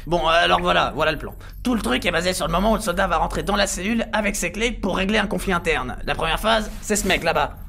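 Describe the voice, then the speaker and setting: A man's voice: high-pitched, fast talker, gravelly, hardly any pauses, bright, forceful tone, slight background noise, heavily acted. A man playing an irritable, manic, bossy clone prisoner in a French comedy audio drama, sarcastic and ranting. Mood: scheming.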